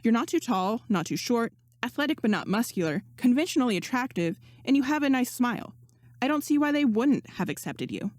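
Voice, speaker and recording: A woman's voice: on the high side, sing-song, brisk, dry recording, clean studio sound, voice pushed up. A woman voicing a confident, breezy young woman in a story, teasing and sure of herself. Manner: teasing, assured, sarcastic.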